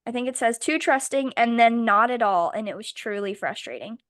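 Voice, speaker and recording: Sick lady cohost, kinda high voice, dry close sound, blunt and sweary. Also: lively intonation, a little fast, no room sound. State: sick, voice going in and out. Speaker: podcast co-host. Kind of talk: casual chat.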